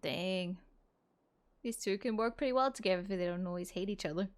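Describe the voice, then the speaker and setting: A woman's voice: medium pitch, a little fast. Chatty woman reacting to a game. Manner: laughs often, chatty. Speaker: video host reacting to a game. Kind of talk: casual game commentary.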